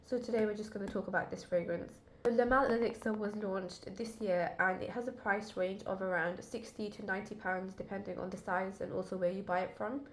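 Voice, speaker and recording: A woman reviewing a fragrance in a casual, chatty way, her voice still lower and duller than usual as it recovers. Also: steady tone, brisk, hardly any pauses, a little hiss or hum. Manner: chatty, talks straight to viewers. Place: at her desk indoors. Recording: echoey room.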